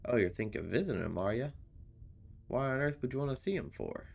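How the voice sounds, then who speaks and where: A man's voice: medium pitch, lively intonation, fast, gravelly. Man voicing a suspicious, folksy local drinker. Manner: guarded, folksy, needling. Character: suspicious, grudging local pub drinker, gossipy townsman. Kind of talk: visual novel character reading.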